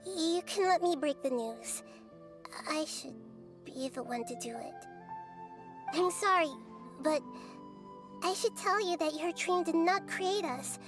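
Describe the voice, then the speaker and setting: A woman voicing a gentle, wise, childlike girl deity in a video game, speaking in a measured, thoughtful way. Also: very high-pitched, music in the background. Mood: solemn, gentle. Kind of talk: game character voice acting.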